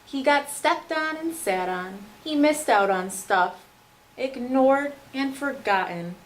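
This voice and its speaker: A woman schoolteacher reads a children's picture book aloud expressively, with dramatic pauses and emphasis for young listeners. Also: high voice, animated, low-quality recording. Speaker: second-grade teacher reading aloud.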